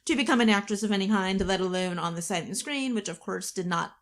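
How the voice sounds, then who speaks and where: A woman's voice: medium pitch, fast. A woman co-hosting a casual podcast, chatting with dry, teasing humour. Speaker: podcast co-host, producer and voice actor.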